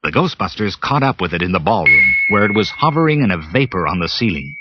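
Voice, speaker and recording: A man narrating a read-along storybook in a lively, expressive way. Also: low voice, fast, very noisy. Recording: muffled cassette with music bed.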